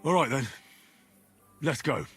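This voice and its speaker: A man's voice: medium pitch, unhurried, slightly rough, soft background music. An actor playing a wary, good-natured young man, a reluctant accomplice with an earnest, cautious manner in a video game. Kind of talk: dramatic video game voice acting.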